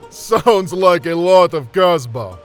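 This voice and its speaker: An actor playing a warm, excitable Algerian man, exclaiming exuberantly in an audio drama. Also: high voice, slow, faint music behind. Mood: friendly.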